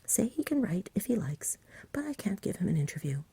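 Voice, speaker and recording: A woman's voice: mid-range voice, very animated, brisk, mellow, breathy edge, no room sound, voice pushed up. A woman voicing a lazy, fussy, polite dragon in a whispered ASMR storybook reading. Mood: plaintive, fussy. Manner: languid, polite, mildly plaintive. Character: lazy, genteel, fussy dragon who refuses to fight.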